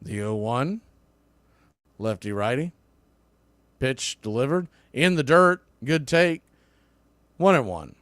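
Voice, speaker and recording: A man doing live baseball radio play-by-play in short, clipped phrases, rising to excitement when the action calls for it. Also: mid-range voice, slow pace, pauses often, no echo. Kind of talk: live sports radio commentary.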